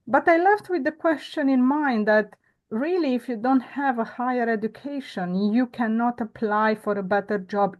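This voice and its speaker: A woman, a guest telling her story earnestly and reflectively, pausing often on "uh" and "um". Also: a little high. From Romania. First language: Romanian. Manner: earnest, reflective, with frequent "uh" and "um" pauses. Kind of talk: conversational interview storytelling.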